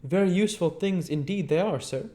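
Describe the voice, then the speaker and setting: Man putting on a high-pitched, deferential landlady. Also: brisk, warm tone, close to an everyday voice. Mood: impressed, deferential. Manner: polite, deferential. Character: deferential female innkeeper, eager to please her guest. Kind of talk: storybook character voice in an audiobook reading.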